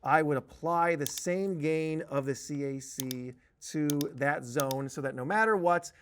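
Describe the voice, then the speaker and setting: Higher-voiced man teaching a software tutorial. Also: measured pace, a little hiss or hum. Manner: explanatory, cautionary, stressing key words. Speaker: software training instructor. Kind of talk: conversational tutorial narration over a software demo.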